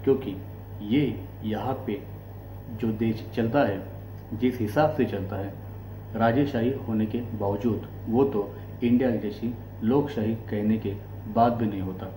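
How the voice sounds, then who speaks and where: A man from India with an Indian accent, giving explanatory commentary as a host in deliberate, short broken phrases. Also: lowish voice, dark tone. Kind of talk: explanatory political commentary to camera. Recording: echoey room, faint background noise and hum.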